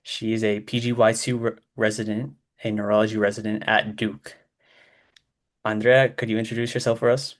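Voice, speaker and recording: A man hosting a podcast, introducing his guest and asking plain, measured questions. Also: fairly low pitch, little pitch movement. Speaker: podcast host. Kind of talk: interview questions.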